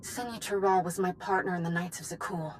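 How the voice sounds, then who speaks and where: An actress playing a solemn, resolute former knight who grieves and wants revenge, in a dramatic video game cutscene. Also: female voice, fairly low pitch, brisk, slight background noise, lightly acted. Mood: solemn, devoted.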